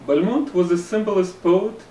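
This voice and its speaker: A man's voice: high voice, normal speed, mellow, slight background noise. Russian-accented man teaching in an echoey room. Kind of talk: scripted lesson narration. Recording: roomy room echo, somewhat distant mic, faint ambience.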